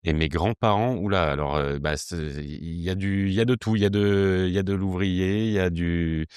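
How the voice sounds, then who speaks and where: A man around forty from southern France with a low, smooth voice, recorded close and dry. He speaks casually in an interview, doubling back on words and searching for the next one. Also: moderate pace, a little mellow, no echo. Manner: hesitant, self-correcting, repeats words as they think. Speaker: podcast guest, communication agency director. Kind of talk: casual interview, recounting background.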